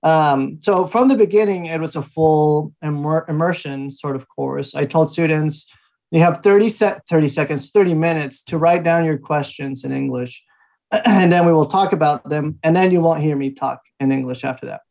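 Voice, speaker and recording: A man's voice: a little high, moderate pace, vocal fry, rough recording. Easygoing man from Mexico telling stories. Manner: relaxed storytelling with frequent fillers and light laughs. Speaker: guest, language institute founder. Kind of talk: conversational interview answers.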